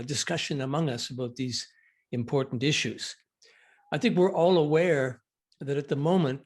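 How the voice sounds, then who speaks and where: An older man from Canada speaks on a panel at a middling pitch. He talks in a measured, deliberate way, pausing with small fillers as he builds his points. His delivery is formal and thoughtful. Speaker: law professor and former politician, panelist.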